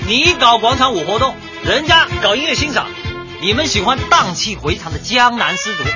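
An actor playing a cocky, argumentative young DJ with dyed hair in a light comedy radio drama. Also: male voice, very high-pitched, animated, moderate pace, muffled recording, over loud music. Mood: defiant, argumentative. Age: young adult. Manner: brash, glib, protesting, lecturing.

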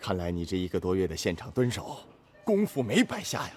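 An actor playing an encouraging, measured senior factory director in a Chinese radio drama. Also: male voice, low-pitched, wildly swooping pitch, soft background music. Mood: pleased, measured.

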